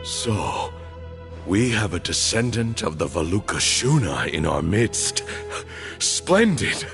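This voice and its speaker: A man's voice: lowish voice, very animated, slow, vocal fry, music in the background, heavily acted. An actor playing a frail, fanatical old male temple leader with a grandiose, zealous manner, in a game cutscene. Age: older. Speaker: villain.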